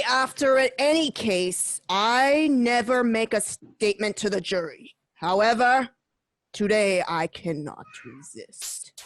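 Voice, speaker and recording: A woman voicing a stern veteran city judge in an amateur radio drama, with a sharp, scolding delivery. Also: fairly high pitch, no room sound.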